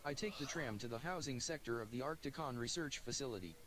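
A gaming video maker reading a visual novel's narration aloud in a plain, steady manner, recorded at a desk at home. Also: male voice, medium pitch, fast. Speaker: gaming YouTuber.

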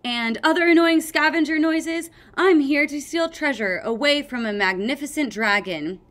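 A woman voicing a hungry, playful young male dragon who shouts in a high, squeaky put-on voice. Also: normal speed. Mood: goofy. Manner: loud, goofy squeaking.

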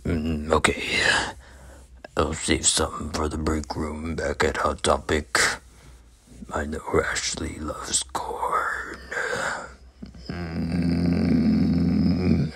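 A man playing a gruff, entitled, childish demon diner with a gravelly, husky voice and slow grumbling delivery in a comedy ASMR roleplay. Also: very deep voice, completely flat delivery, a bit bright, full character voice. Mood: grudging. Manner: slow, grumbling, terse, drawn-out hums.

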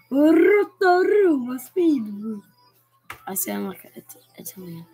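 A woman's voice: on the high side, darkish tone, slightly rough, poor audio. A woman giving casual, eager commentary on a racing game and building hype with questions. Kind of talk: casual hobby race commentary.

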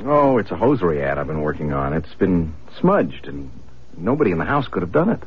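An affable, sceptical young husband in an old radio drama, conversational and a bit hesitant. The voice is low and animated, on a muffled, noisy recording. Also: male voice, brisk. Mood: puzzled. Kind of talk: vintage radio drama acting.

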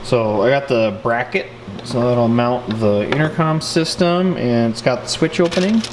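A man's voice: mid-range voice, normal speed, background noise. A man narrating a hands-on project casually and informally, explaining it step by step as he goes. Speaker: car builder and vlog host. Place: indoor garage workshop. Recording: echoey room.

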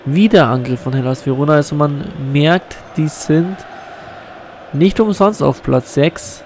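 A man's voice: fairly high pitch, measured pace, darkish tone, slight background noise. A man with a cold and a blocked nose, commenting on a football video game he is playing, recorded on a USB condenser mic at home. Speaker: gaming YouTuber.

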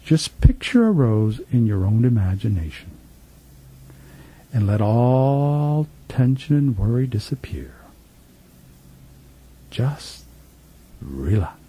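A man leading a guided meditation as a spiritual teacher, gently instructing in a soothing, repetitive manner with trailing phrase endings, recorded in a studio. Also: mid-range voice, big pitch swings, slow, pauses often, slightly rough. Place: professional studio.

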